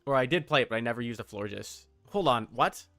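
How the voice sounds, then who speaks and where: A man's voice: medium pitch, animated, brisk, creaky, a bit bright, dry recording, faint music behind. A man streaming casual reaction commentary, thinking aloud in broken phrases close to the mic.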